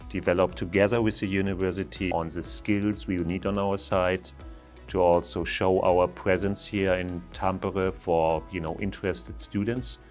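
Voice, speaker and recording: Deep voiced middle aged guy, relaxed interview talk, music faint behind. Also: dull audio. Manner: thoughtful, fillers like "you know". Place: bright lounge with plants, indoors.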